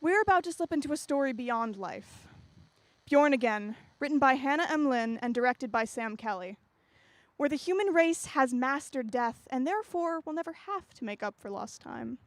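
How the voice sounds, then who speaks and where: A woman's voice: high-pitched, expressive, moderate pace, dry recording. A woman playing a wry, playful storyteller who introduces a science fiction radio play straight to the listener. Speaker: narrator introducing an anthology play. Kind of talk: scripted radio drama narration.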